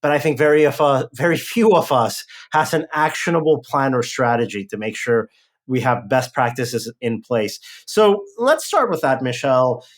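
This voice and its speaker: A man from Mexico hosting a podcast, brisk and upbeat as he moves between chat and an interview. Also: mid-range voice, animated. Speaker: podcast host.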